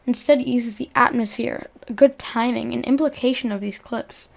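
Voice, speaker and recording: Young woman, high-pitched, conversational video essay narration, earnest, slightly stumbling delivery. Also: steady tone, moderate pace, vocal fry.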